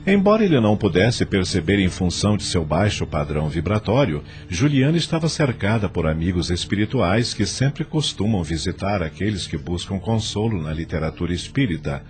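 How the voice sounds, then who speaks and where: A man's voice: deep voice, darkish tone. A man with a Brazilian accent narrating an audio novel as scripted, dramatic storytelling.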